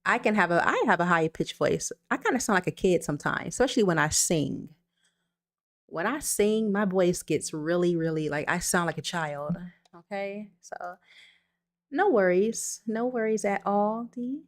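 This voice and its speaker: A woman with a somewhat low speaking voice hosts a relaxed livestream. She talks loosely and reassuringly to her viewers. She reads their comments aloud into her computer mic. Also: moderate pace. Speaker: livestream host. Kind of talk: casual livestream chat. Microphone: streaming setup with computer mic.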